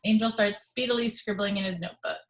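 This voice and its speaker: A woman reading the action descriptions at a script table read, in a plain, even manner. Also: mid-range voice, fast talker. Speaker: narrator reading stage directions.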